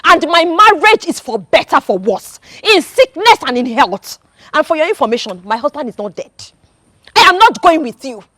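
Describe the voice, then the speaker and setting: An actress playing a fierce, loyal wife who shouts and accuses as she defends her husband. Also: high-pitched, sing-song, normal speed, no room sound, clean studio sound, heavily acted. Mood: furious. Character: fierce loyal young wife, defiant and distraught.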